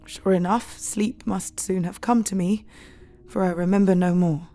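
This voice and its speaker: An actress playing a brave but distressed young Victorian wife, speaking solemnly and tenderly in an audio drama. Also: female voice, lowish voice, steady tone, normal speed, warm tone, natural voice. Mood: grave recounting. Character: brave, distressed gentle young married Victorian woman, Mina.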